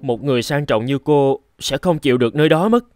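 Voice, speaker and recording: A man voicing a poor, earnest teenage boy with big dreams in an animated moral tale, speaking with dramatic emotion. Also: medium pitch, brisk, faint music behind, lightly acted. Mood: ashamed, hesitant.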